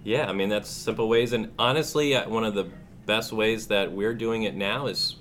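A man's voice: lowish voice, moderate pace, fairly bright tone, slight background noise. A man, a teacher hosting a school podcast in an upbeat, welcoming way.

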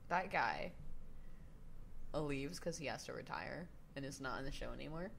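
A woman's voice: rumbling low, lively intonation, moderate pace, a bit bright. A woman in her twenties co-hosting a casual podcast, chatting in a playful, jokey way and swearing casually. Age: 20s. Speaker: podcast co-host. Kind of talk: casual chat. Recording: clear background noise.

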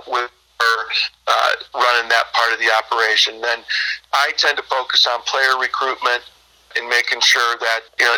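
American male, 50s, team owner and coach in interview. Sharp bright tone, flat intonation, measured explanatory delivery. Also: medium pitch, low-quality recording. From Michigan, United States. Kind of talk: conversational interview answers.

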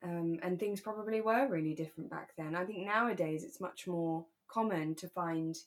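Low-pitched woman, chatty podcast co-host. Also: normal speed. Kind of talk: relaxed chat discussing a radio drama.

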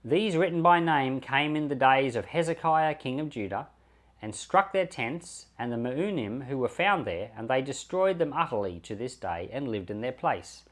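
A man reads scripture aloud in a mid-pitched voice with lively rises and falls. His delivery is measured and deliberate, setting out each phrase and name separately, as a pastor would on a podcast.

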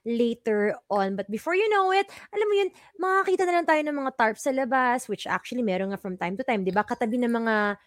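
Filipino female DJ co-host, upbeat and giggly, high register, dry studio sound. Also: expressive, fast talker, hardly any pauses, no room sound. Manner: bubbly, laughs often, mixes Filipino and English. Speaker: radio DJ co-host. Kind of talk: casual talk-show banter.

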